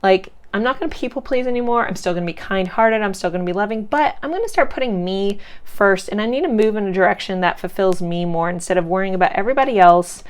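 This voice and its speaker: Woman, tarot reader, congested cracking voice, chatty, reassuring, mid pitch. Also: fast, few pauses, smooth. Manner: chatty and reassuring, loose with many fillers. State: congested, cracking voice from sinus drainage. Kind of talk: casual pick-a-card tarot reading.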